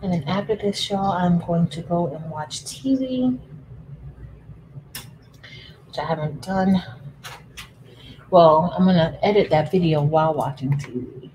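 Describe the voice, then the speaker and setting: A woman's voice: lowish voice, a little slow, long pauses, warm tone, slight background noise, rough recording. A woman in her thirties hosting a casual craft livestream from home, chatting with viewers while she works. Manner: chatty, addresses viewers as y'all, frequent laughs. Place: indoors at a home craft table.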